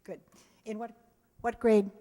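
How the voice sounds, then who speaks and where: A woman's voice: fairly high pitch, flat delivery, a little slow, dark tone. An American woman, director of a theater group, giving a chatty thank-you speech directly to her audience and cast. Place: live stage performance in a hall. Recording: echoey hall with audience sounds.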